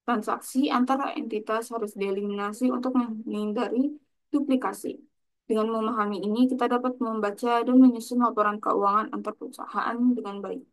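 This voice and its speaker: A woman's voice: fairly high pitch, fairly even intonation, brisk, low-quality recording. A young woman giving a scripted explanatory presentation as a student, in a formal, recited manner. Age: young adult. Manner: formal, recited from prepared text.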